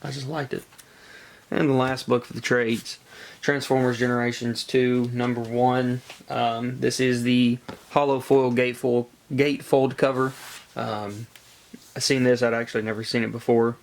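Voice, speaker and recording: A man with a congested, gravelly voice from a cold, chatting casually as he shows off a collection haul in a halting way. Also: medium pitch, little pitch movement. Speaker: comic book collector presenting a haul. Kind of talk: casual show-and-tell vlog chat.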